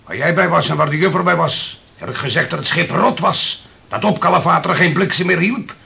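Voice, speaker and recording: A man acting in a radio play as a bitter, hard-drinking workman, hoarse and gravelly, blunt and accusing. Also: on the high side, a little fast, sharp tone, heavily acted.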